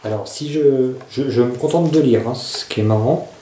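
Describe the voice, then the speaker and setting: A man's voice: medium pitch, moderate pace, noisy background. A man casually reviewing survival gear to camera. He often hesitates and searches for his words. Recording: echoey room.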